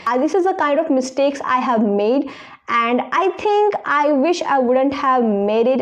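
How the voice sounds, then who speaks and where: High-voiced woman with a strong Indian accent. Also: darkish tone, highs cut off. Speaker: English-learning advice presenter. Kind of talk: personal advice talk to viewers.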